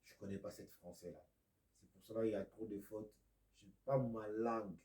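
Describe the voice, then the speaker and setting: A man from Congo preaching a video sermon, a speaker of Lingala and Kikongo who swings from loud declaiming to near-whispers. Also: fairly low pitch, fairly even intonation, moderate pace, reverberant, poor audio.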